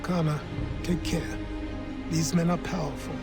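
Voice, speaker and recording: A crusty old mentor, cautious and dry. His voice is high for a man and full of gravelly creak, teaching as in a game cutscene, with clear music. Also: level tone, a little slow. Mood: cautioning. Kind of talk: video game cutscene acting.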